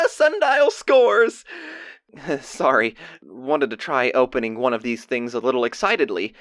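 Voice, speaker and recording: A man voicing an excitable young pony recording an audio diary in an audio drama, halting, awkward and earnest. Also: mid-range voice, no echo, lightly acted. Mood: giddy, embarrassed. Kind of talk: casual diary monologue, acted.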